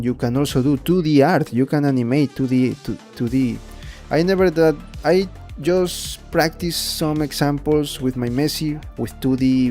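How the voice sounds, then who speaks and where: A man's voice: mid-range voice, warm tone. A young man from Ecuador with a Spanish accent, rambling and repeating words as he explains his 3D art on a casual livestream. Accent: Spanish-accented English. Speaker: 3D artist streaming his work.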